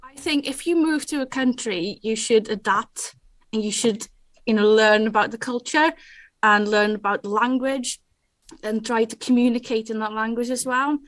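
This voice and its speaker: A woman from the Netherlands answers onstage questions in a fairly high voice. She hesitates with frequent ums and small laughs. The sound is dry. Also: normal speed, no echo. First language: Dutch. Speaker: award winner, digital skills trainer. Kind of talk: onstage interview.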